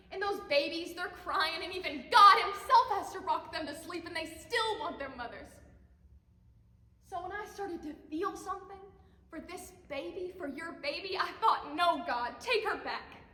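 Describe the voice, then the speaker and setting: A woman's voice: very high-pitched, pushed and bright. Woman acting a raw grieving mother, echoey hall. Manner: halting, impassioned. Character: grieving young mother, raw, intense, bitterly tender. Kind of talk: theatrical dramatic monologue. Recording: echoey hall, long reverb.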